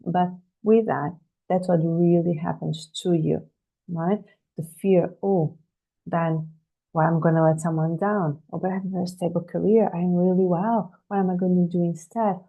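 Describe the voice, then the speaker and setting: A woman's voice: on the low side, steady tone, moderate pace, phone-quality sound. A woman career coach leading a live online workshop over a video call, talking through a cold with a slight cough, earnestly putting questions to her listeners. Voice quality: husky, gravelly voice. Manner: earnest, rhetorical questions to the audience.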